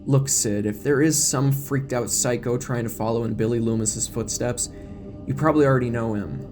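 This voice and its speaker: A man's voice: medium pitch, moderate pace, a little mellow, close to an everyday voice. A man playing an earnest, protective, wordy young small-town deputy in an audio drama. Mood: worried. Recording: music bed behind the voice.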